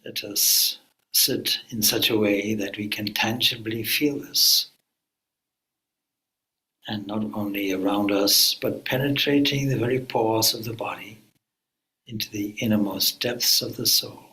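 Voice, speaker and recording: A man, a swami, leading a guided meditation in a calm, unhurried way with long pauses. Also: fairly low pitch, poor audio.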